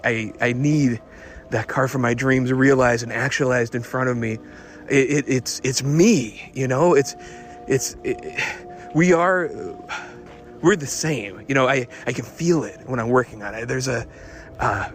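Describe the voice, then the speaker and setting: An actor playing an obsessed, increasingly unhinged car tinkerer recording a rambling, halting audio diary for an audio drama. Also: male voice, mid-range voice, close to an everyday voice. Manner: halting, nervous, repeating himself, muttering fixations. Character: obsessed man secretly lowering his car, increasingly unhinged.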